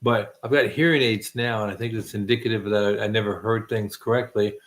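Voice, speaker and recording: A man's voice: lowish voice, fairly even intonation, fairly quick. A middle-aged man hosting a casual late-night chat, rambling with many restarts and self-deprecating jokes. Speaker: host.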